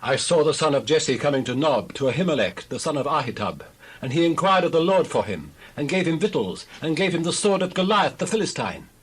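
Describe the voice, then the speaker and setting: A man's voice: a little high, big pitch swings, brisk, breathy edge, low-quality recording. A man playing an eager servant informing to his king in dramatized scripture audio, emphatic and tattling. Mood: eager, accusing.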